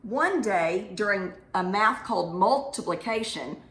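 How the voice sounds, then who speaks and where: A woman's voice: mid-range voice, animated, unhurried, fairly bright tone. A woman teaching young children in an encouraging way, with simple phrasing and questions, as in a math lesson or storytime. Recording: echoey room.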